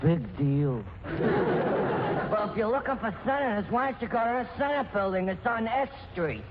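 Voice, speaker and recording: A man playing a gruff, wisecracking, impatient passerby, curt and sarcastic, in 1940s radio comedy. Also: sits high, sing-song, normal speed, noisy background. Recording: dull, band-limited old radio recording.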